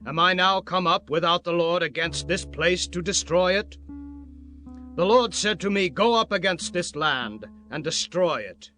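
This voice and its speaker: A proud, menacing envoy of an ancient empire played by a man declaims in a high, forceful voice. The speech is taunting scripture-drama oratory, with music underneath. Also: expressive, a little slow, full character voice. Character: boastful, threatening male Assyrian royal envoy. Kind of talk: dramatized scripture audiobook acting.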